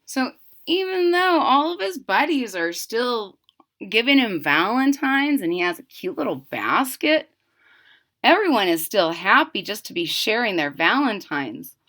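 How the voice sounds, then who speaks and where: A woman's voice: on the high side, big pitch swings, unhurried, fairly bright tone. A woman reading a children's picture book aloud expressively, adding simple casual asides to explain things to young listeners. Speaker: storybook reader.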